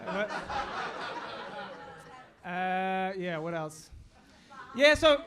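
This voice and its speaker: A man's voice: sits high, lively intonation, extremely slow, a bit bright, a faint crowd. A Canadian man with a Canadian accent doing stand-up on a club stage, rambling and riffing with the audience. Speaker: stand-up comedian. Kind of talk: stand-up set with crowd work. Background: audience laughing.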